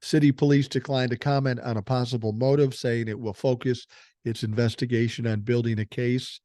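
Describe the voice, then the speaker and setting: A man's voice: mid-range voice, little pitch movement, a little fast, warm tone, no room sound, clean studio sound. An older man hosting a political podcast, giving blunt, profane opinions and reading news stories aloud. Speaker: podcast host.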